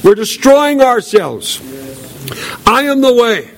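High-pitched man preaching an emphatic sermon. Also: big pitch swings, slow pace. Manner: emphatic, repeats key phrases for weight; earnest, solemn exhortation. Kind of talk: evangelical sermon.

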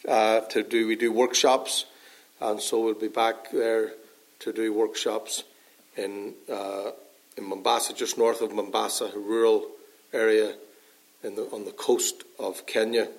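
Northern Irish pastor preaching earnestly. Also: male voice, medium pitch, poor audio. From Northern Ireland. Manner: unhurried, earnest preaching with frequent fillers. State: dry cough. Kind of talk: sermon.

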